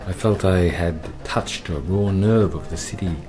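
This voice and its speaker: Australian man narrating, slow brooding radio play read, low voice. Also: mellow, faint music behind. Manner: brooding, measured.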